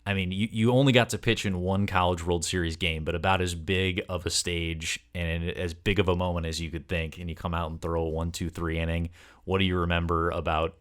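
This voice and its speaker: Deep-voiced man hosting a sports interview. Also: flat delivery, a little fast. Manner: wordy, long multi-part questions. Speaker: podcast host and interviewer.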